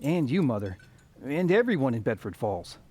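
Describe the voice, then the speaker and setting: A man playing a restless, eager young small-town man in a radio drama, earnest and halting when flustered. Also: mid-range voice, very animated, darkish tone, slightly rough. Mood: eager, fond.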